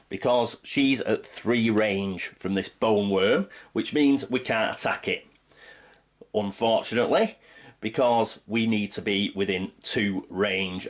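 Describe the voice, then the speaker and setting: A congested man, his nose blocked by a cold, hosts a tabletop playthrough. His low voice talks through each move in a chatty, patient way, spelling out the rules step by step. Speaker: board game channel host. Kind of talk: playthrough commentary of a board game.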